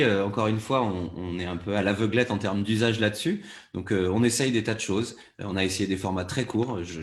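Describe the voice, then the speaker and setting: A man with a fairly low voice takes part in a panel discussion as a producer. He explains his thinking off the cuff, circling back and repeating words as he builds each point. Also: echoey room. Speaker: panelist, fiction podcast producer.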